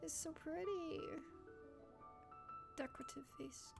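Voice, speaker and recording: Gamer girl chatting over loud music, high creaky voice, reacts big to everything. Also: takes it slowly. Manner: expressive, reactive. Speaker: gaming streamer. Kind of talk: casual let's play commentary.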